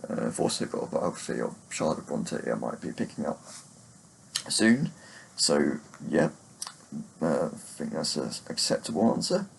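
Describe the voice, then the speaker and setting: A man giving a casual, unscripted book chat to camera in a hesitant, halting, rambling way. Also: extremely low pitch, very animated, gravelly, slight background noise, rough recording. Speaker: book reviewer vlogger.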